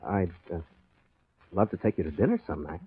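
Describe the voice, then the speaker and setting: An actor playing an affable, easygoing private detective in 1940s radio style, chatty and a little hesitant around women. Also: male voice, low voice, sing-song, a little fast, husky, no echo, full character voice. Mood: bashful, courting. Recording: tinny 1940s radio recording, music.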